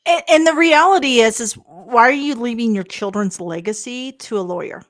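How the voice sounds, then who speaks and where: A woman's voice: a little high, moderate pace. A middle-aged woman hosting an interview show, chatty and conversational, with the odd stumble. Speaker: podcast host.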